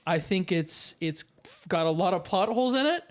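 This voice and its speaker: A man's voice: sits high, expressive, moderate pace. Casual male podcast host, mid-range voice.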